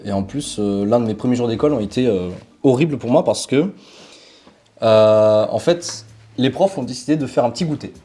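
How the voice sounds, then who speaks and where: A man's voice: medium pitch, normal speed, faint music behind. A young man from Portugal, a native Portuguese speaker, telling stories casually as an interview guest with lots of fillers. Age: young adult. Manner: relaxed, animated storytelling with frequent fillers. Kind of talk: casual conversational storytelling.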